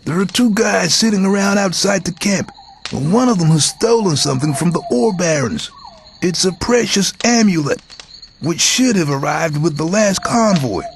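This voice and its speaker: A man's voice: high voice, expressive, normal speed, a little hiss or hum. A man playing a sly, scheming camp thug with a show of friendliness in a fantasy video game. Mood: persuasive, scheming.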